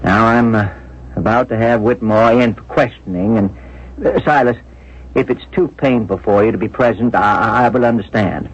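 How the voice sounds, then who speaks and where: An actor playing a grave, considerate police inspector in a 1970s radio drama, speaking in a measured, deliberate, official way. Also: male voice, fairly low pitch, breathy edge. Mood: solemn. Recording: muffled vintage radio broadcast.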